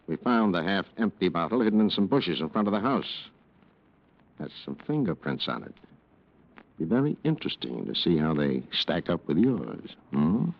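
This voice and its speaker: An actor playing a dry, probing police lieutenant in 1940s radio noir style, deliberate and understated. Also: male voice, low voice, big pitch swings, gravelly, a little hiss or hum, exaggerated voice. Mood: quietly accusing. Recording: dull vintage radio recording.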